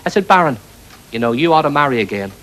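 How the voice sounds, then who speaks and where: A man's voice: fairly high pitch, big pitch swings, fast talker, fairly bright tone. A man playing a cheerful, daft young pantomime servant in chatty comic patter. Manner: chatty, gormless, bouncy. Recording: noisy 1980s TV recording.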